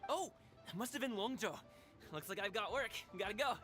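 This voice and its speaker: Video game role: upbeat young courier-guard, high voice, breezy and polite, music behind. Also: male voice, expressive, brisk. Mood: eager. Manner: breezy, sociable, courteous. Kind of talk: video game character acting.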